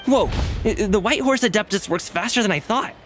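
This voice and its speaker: A friendly young male dancer talks in a casual, upbeat and self-effacing way. His pitch jumps around animatedly, in a game-acting style with faint music behind. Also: animated, a little fast, a bit bright. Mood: easygoing, surprised.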